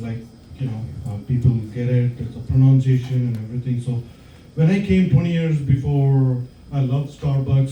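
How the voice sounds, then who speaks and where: A man from southern India with a South Indian accent, telling stories in a stand-up set with hesitant pauses and many fillers. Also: mid-range voice, warm tone, highs cut off, slight background noise. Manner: hesitant, filler-heavy storytelling with many ums. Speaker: stand-up comedian. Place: comedy club stage. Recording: roomy club sound from far off.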